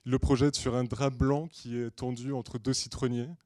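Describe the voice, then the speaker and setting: A man with a mid-pitched, dry-sounding voice delivers a prepared story pitch. He is from Portugal and speaks in earnest, deliberate phrases. Hesitant pauses break up his storytelling. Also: little pitch movement, darkish tone, no room sound. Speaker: screenwriter and director pitching a feature film. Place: screening room at a film school.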